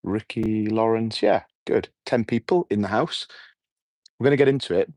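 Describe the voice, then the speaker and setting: A middle-aged man with a hoarse voice, hosting a relaxed, rambling evening livestream outdoors and chatting with his viewers. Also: on the low side, moderate pace, no echo, studio quality. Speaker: livestream host. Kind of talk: casual evening livestream chat. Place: outdoors at night.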